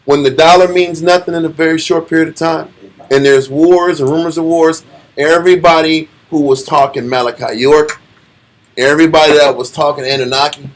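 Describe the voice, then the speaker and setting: A man's voice: fairly high pitch, fairly even intonation, fairly quick, poor audio. A man with an American accent teaching religion informally, colloquial and taunting, firing off rhetorical questions. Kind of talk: informal sermon discussion.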